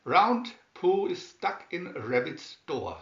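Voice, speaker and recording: Male narrator for children's picture stories. Unhurried, very expressive delivery; dull-sounding home recording. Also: on the high side, vocal fry, roomy sound. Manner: deliberate, with emphatic swoops on key words. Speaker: storybook reader. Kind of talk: scripted children's story narration, read aloud slowly and expressively.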